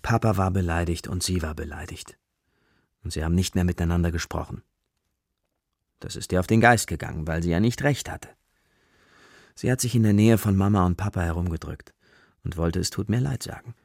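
A man narrating a German children's radio play as its storyteller, in short, measured storybook phrases. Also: low voice, gravelly, darkish tone, no echo, studio quality. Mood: plain narrating. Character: male storyteller of a children's forest adventure.

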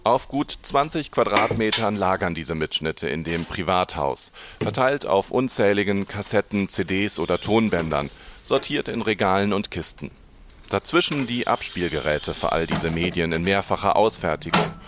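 A man's voice: low voice, expressive, moderate pace, noisy background. A man narrating a scripted radio report as the reporter.

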